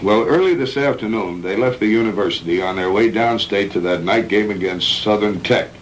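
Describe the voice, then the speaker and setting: A man playing a calm, frank middle-aged hospital doctor in a measured, matter-of-fact 1960s B-movie style. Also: lowish voice, natural voice. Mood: grave, recounting. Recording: noisy old 1960s film soundtrack.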